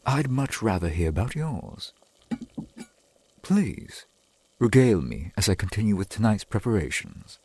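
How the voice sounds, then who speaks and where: A man playing a courtly, adoring centuries-old vampire boyfriend in an ASMR roleplay, speaking in formal, old-fashioned phrases with a husky voice. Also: on the low side, very animated, a little slow, long pauses, darkish tone, a little hiss or hum. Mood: tender, proud. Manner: formal, archaic, genteel phrasing.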